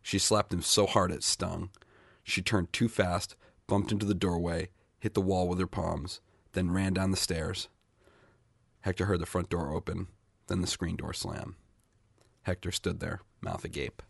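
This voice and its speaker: A man's voice: low voice, fairly even intonation, unhurried, vocal fry, breathy edge, dry recording, studio quality. A man reading literary short fiction aloud as a scripted narration. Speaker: short story reader.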